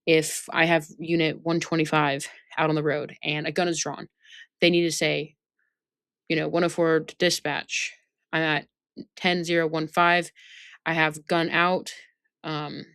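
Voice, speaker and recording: A woman's voice: sits low, a bit bright, smooth, dry recording, clean studio sound. A woman instructor giving an informal training lesson, reading out points and explaining them, often checking that listeners follow. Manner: instructive, checks understanding with tag questions.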